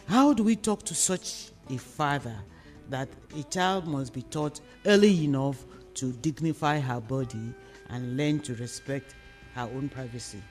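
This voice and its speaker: A man with a Nigerian accent hosting a radio discussion, putting serious questions to a guest in measured, deliberate short phrases. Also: on the high side. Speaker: host of a radio discussion programme. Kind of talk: interview questioning on a serious social issue.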